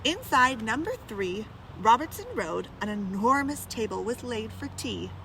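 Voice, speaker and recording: Expressive high-voiced woman reading a children's story. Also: measured pace, a little hiss or hum, rough recording. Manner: addresses young listeners directly with questions. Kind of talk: expressive read-aloud with chatty asides.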